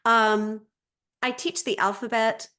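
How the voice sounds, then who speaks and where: A woman's voice: on the high side, steady tone, slow pace, bright, forceful tone, smooth. A woman speaking as a language teacher and interview guest, explaining conversationally and often circling back over her phrasing.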